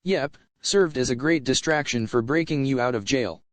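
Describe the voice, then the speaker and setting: A man's voice: medium pitch, moderate pace, no room sound, natural voice. A man playing an eager, obedient hacker henchman in an amateur audio drama, speaking deferentially to his boss.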